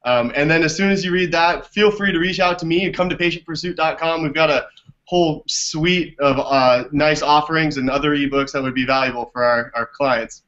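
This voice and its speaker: Higher-voiced man on a muffled video call. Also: fairly even intonation, brisk, fairly bright tone. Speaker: interview guest, business development director. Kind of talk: conversational explanatory interview answers. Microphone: video call microphone. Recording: dull lo-fi video call audio.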